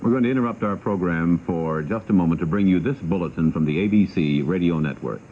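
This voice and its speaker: A man co-hosting a 1960s radio interview, talking in a halting way on an old recording. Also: low voice. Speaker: radio talk show host. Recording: phone-like, faintly noisy 1960s radio recording.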